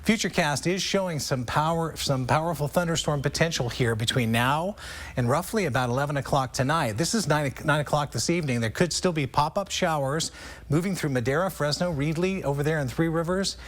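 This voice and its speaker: A man's voice: a little high, brisk. A man with an American accent presenting a TV weather forecast in an explanatory, conversational way. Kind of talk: ad-libbed broadcast weather forecast.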